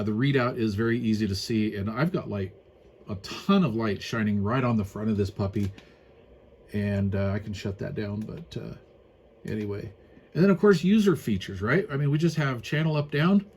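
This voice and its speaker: A man's voice: lowish voice, a little mellow, a little hiss or hum. A man giving a casual, unscripted hands-on product review in a folksy, plain-spoken way with colloquial turns.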